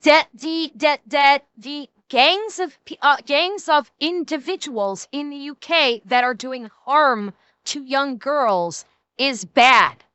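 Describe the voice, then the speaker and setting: A woman's voice: high voice, slow pace, pushed and bright, dry recording. A young woman streaming live, talking indignantly to her chat and swearing as she answers viewers.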